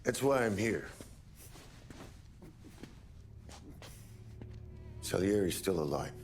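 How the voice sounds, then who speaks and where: A man's voice: low voice, expressive, normal speed, darkish tone. An actor playing a streetwise mob underling in a video game, blunt and clipped in his talk. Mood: guarded. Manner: plain-spoken, clipped. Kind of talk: cinematic game voice acting. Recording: music behind the voice.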